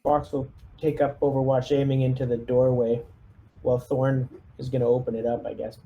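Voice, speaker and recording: A man's voice: mid-range voice, level tone, moderate pace, dark tone, dull audio, slight background noise. A man chatting casually as a player in a tabletop roleplaying game.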